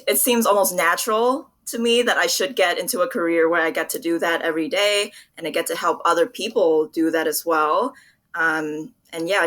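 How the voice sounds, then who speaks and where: Bright, lowish-voiced young woman being interviewed. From Vietnam. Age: young adult. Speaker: interview guest, public relations professional. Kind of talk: conversational interview answers.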